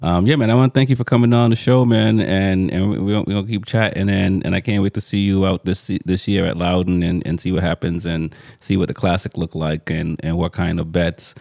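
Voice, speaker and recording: A man's voice: sits low, steady tone, a little fast, gravelly, hardly any pauses, a little mellow, dry recording, studio quality. A man hosting a casual chat show, talking in a rambling, repetitive way and laughing easily. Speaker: show host.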